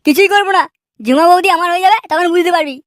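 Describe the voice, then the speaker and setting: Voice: very high-pitched, very fast, fairly bright tone, no room sound, clean studio sound. A performer voicing a hot-headed, lazy troublemaker in a Bengali comedy cartoon, crude and blustering. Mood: quarrelsome.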